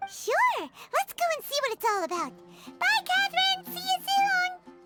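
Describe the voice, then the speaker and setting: Squeaky, excitable little fairy girl. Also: a little slow, exaggerated voice. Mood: chirpy. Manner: chirpy, nosy, exclaiming. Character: tiny excitable childlike fairy companion who speaks in third person. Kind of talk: video game character acting. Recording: music behind the voice.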